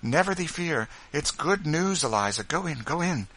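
A man voicing a bubbly, warm-hearted young Quaker wife in an audiobook, excitable and insistent in old-fashioned speech. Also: medium pitch, normal speed, breathy edge, voice pushed up, exaggerated voice, rough recording. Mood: excited, reassuring. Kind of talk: audiobook character voice, storybook dialogue.

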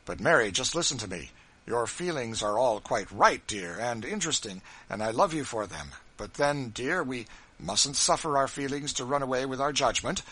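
Male reader as calm reasoning husband, bright and a little husky. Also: mid-range voice, normal speed, pitched above the performer's own voice. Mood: placating. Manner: soothing, reasoning, placating. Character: mild, patient husband and senator. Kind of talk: audiobook character reading.

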